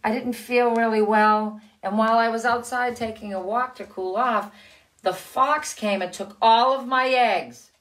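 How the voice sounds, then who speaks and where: A woman's voice: on the high side, steady tone, unhurried, fairly bright tone, pitched above the performer's own voice, low-quality recording. A woman voicing a mother hen recounting her story, in a raised storytelling voice for a picture book. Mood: earnest. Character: mother hen telling a worried tale.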